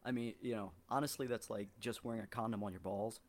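Podcast guest, man, lower voice, halting and rambling, casual. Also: steady tone. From southern Ohio, US. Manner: rambling, halting, with many ums and restarts. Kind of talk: casual podcast interview chat.

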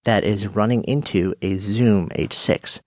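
A man's voice: lowish voice, unhurried, darkish tone, no room sound. A man giving a casual, friendly walkthrough of his podcast gear, switching between a studio mic and a headset mic. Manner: friendly, demonstrative, self-deprecating. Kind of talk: casual explainer talk. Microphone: studio dynamic mic on swing arm, later headset mic. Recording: dry close-mic sound.

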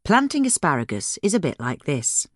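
A woman's voice: deep voice, sing-song, normal speed, no room sound, studio quality. A woman reading a scripted magazine feature aloud as narration. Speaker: feature reader.

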